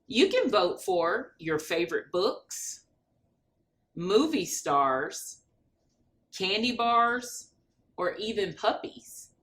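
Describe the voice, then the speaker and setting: A woman's voice: medium pitch, sing-song, extremely slow, pauses often, a bit bright, heavily acted. A woman voicing chatty pet dogs in a children's picture book, asking curious questions and explaining things simply. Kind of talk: children's storybook read-aloud.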